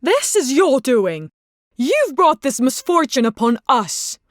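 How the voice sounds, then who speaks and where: A woman's voice: sits high, big pitch swings, slow, full character voice. A woman voicing a greedy, scheming middle-aged stepmother in a fairy tale, with a commanding manner. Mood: accusing. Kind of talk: fairy tale character acting.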